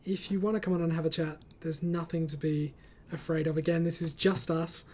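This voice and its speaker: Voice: mid-range voice, flat delivery, brisk, background noise. A host of a casual online group chat, reading out comments and speaking in an encouraging, reassuring way over a voice call.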